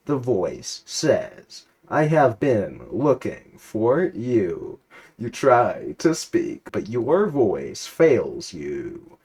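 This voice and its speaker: A man giving a comic dramatic reading of a story, in a deadpan manner, sometimes stumbling and rereading lines. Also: fairly low pitch, breathy edge. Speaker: reader.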